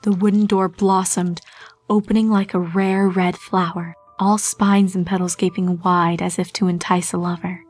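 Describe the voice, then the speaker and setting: A woman's voice: lowish voice, little pitch movement, normal speed, darkish tone. Woman narrating horror over music. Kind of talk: dramatic scripted horror narration.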